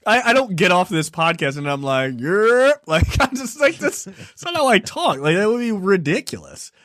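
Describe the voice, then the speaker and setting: A man's voice: high voice, big pitch swings, normal speed, fairly bright tone, no room sound. A man from Baltimore talks at length on a podcast, chatty and emphatic, with frequent laughs. His voice sits in a mid range. The sound is dry and close to the mic. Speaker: podcast co-host. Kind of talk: casual chat.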